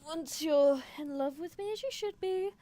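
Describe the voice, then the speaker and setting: A woman's voice: high voice, moderate pace, dark tone. A woman streaming an improvised ASMR roleplay as a scheming, possessive anime mad scientist, muttering in theatrical exasperation. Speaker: streamer performing character roleplay.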